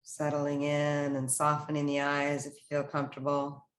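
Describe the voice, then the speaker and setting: A woman leading a guided meditation, speaking in short, soothing, instructional phrases with unhurried pauses. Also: low voice, monotone.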